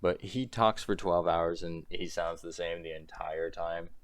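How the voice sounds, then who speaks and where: Young guy ranting on his channel, really low voice a bit thrashed, dry close sound, keeps laughing it off. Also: unhurried, smooth, dry recording. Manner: exasperated, rambling, laughs often between points. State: strained throat. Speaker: commentary creator. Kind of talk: ranting commentary.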